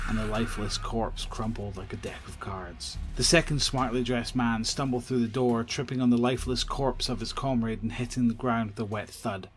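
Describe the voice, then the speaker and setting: A man narrating a scripted horror audio drama. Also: on the low side. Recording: clear background noise and ambience.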